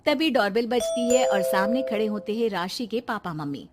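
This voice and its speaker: A woman narrating a cartoon story in a plain, even storytelling manner. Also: a little high, moderate pace, over loud music. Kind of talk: scripted narration.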